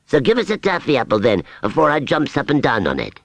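A man's voice: medium pitch, huge pitch swings, vocal fry, dry recording, voice pushed up, exaggerated voice. A man voicing a simple, lovestruck scarecrow in a storybook, plaintive and grumbling, with a West Country English accent. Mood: complaining. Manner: rustic, plaintive, slow-witted.

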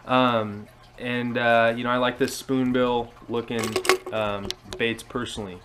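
A man's voice: medium pitch, level tone, unhurried, a bit bright. A man in his twenties with an American accent, giving a casual how-to talk to a camera in a living room. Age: 20s. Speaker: fishing vlogger. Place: indoors, small living room. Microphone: action camera on table at arm's length.

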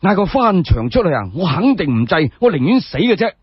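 A man voicing a principled, stubborn gentleman official who speaks firmly and emphatically. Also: high-pitched, big pitch swings, a little fast, husky, no echo, lo-fi sound, exaggerated voice. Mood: stubborn, righteous. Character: upright, stubborn gentleman official, refusing indignity.

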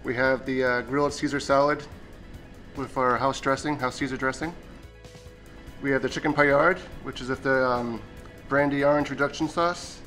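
A man's voice: mid-range voice, fairly even intonation, moderate pace, fairly bright tone. A man, a restaurant's executive chef, answering interview questions hesitantly with many fillers. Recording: faint background music.

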